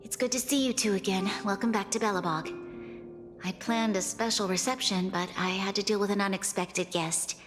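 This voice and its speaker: A woman's voice: mid-range voice, fairly even intonation, normal speed, lightly acted. An actress as a grave young woman ruler. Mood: grave, troubled. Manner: formal, grave, sincere. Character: dignified, burdened young female ruler. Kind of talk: scripted video game dialogue. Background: game music.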